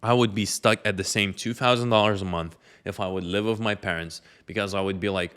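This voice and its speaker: A man's voice: lowish voice, steady tone, a little fast, a bit bright, clear, resonant tone. A young man, talking casually about his life and giving advice with many fillers. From Lithuania. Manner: conversational, loose with many fillers. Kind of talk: casual talk to camera.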